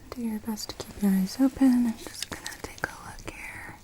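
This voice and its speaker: A woman's voice: on the high side, normal speed, low-quality recording. Whispering woman doing ASMR doctor roleplay. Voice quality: soft, breathy whisper. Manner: gentle, instructing, reassuring. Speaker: ASMR performer playing a doctor.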